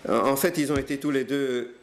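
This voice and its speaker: An older man recounting his family's history in a hesitant, halting way, with many fillers and restarts. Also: mid-range voice, fairly even intonation, a little hiss or hum. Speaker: interviewee telling family history. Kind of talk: hesitant spoken recollection.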